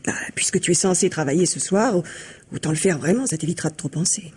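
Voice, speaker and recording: An actress playing a calculating, controlled mistress who coaxes and instructs, in 1950s French radio mystery style. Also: female voice, fairly low pitch, fast, darkish tone, breathy edge. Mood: controlled, instructing. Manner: coaxing, instructing, persuasive. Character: calculating, controlled mistress and office collaborator plotting crime.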